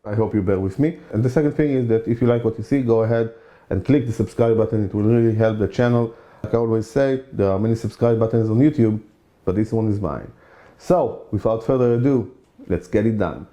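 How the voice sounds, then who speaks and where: Israeli man, around forty, mellow tone, casual monologue, fillers and emphatic repeats. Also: fairly low pitch, brisk. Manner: chatty, many "you know" fillers, emphatic repeats. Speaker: channel host.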